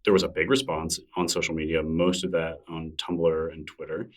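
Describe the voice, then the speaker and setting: Low-voiced chatty man, a bit off-mic. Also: little pitch movement, moderate pace, distant mic. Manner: chatty, enthusiastic, laughs easily. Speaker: podcast guest, newsletter creator. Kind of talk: casual interview conversation.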